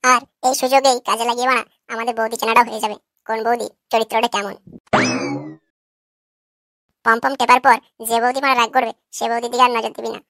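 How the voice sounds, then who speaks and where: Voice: mid-range voice, level tone, very fast, hardly any pauses, pushed and bright, dry recording. A performer voicing a crude, cocky young neighborhood prankster in a cartoon, always teasing. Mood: scheming.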